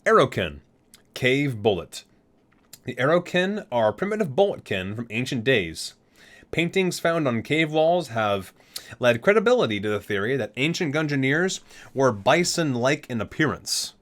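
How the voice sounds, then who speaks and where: Man reading aloud ASMR-style, lively pitch. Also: normal speed. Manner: stumbles over words and corrects himself. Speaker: ASMR video host. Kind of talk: ASMR read-aloud from a game guidebook with casual asides.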